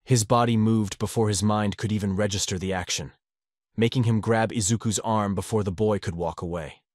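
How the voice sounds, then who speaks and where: Brief: male podfic narrator, low voice, steady measured read, dry close sound. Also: no echo. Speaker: audiobook narrator. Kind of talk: fanfiction audiobook narration.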